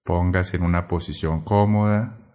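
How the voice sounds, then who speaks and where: A man guiding a meditation in a gentle, measured way, pausing between short phrases. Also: low voice, fairly even intonation. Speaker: meditation guide. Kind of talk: guided meditation narration.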